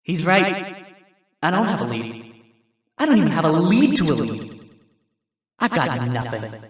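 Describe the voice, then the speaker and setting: A man voicing a sly but desperate fox defense lawyer in a fan audiobook reading, swinging from quick retorts to deflated mumbling. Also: fairly high pitch, sing-song, husky, dry recording, clean studio sound, exaggerated voice. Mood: defeated.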